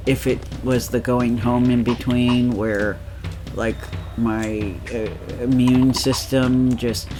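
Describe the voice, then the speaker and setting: A man with a nasal voice chatting casually while playing a game, rambling with lots of fillers and laughs. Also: medium pitch. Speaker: let's play co-host. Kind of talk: casual chat over gameplay.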